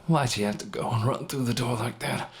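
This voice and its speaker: A man voicing a wounded, guilt-ridden robber in a dramatic story, bitter and grieving, with hoarse, folksy, sweary speech. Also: medium pitch, brisk, voice pushed up, natural voice. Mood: angry, accusing. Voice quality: hoarse, breathy at times. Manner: rough folksy talk, swearing, sighing, talking to a dead partner. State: pained, worn out.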